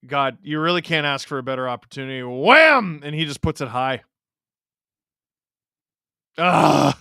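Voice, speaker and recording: Canadian-raised guy streaming hockey, bright clear voice, jokey and reactive, dry close mic. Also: fairly high pitch, measured pace, no echo. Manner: animated, joking, reacting to play and chat. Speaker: live hockey watch-along host. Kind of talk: casual live-stream commentary.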